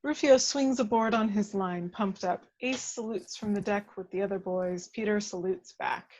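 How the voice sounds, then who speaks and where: A woman reading action descriptions as scripted narration at a table read, with measured, dramatic storytelling. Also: medium pitch, darkish tone, slight background noise, poor audio.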